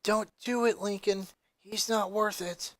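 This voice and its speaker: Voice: medium pitch, steady tone, measured pace, fairly bright tone, no room sound, clean studio sound, close to an everyday voice. A performer voicing a blunt, protective young schoolkid in a story reading. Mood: calming. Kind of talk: acted fan fiction reading.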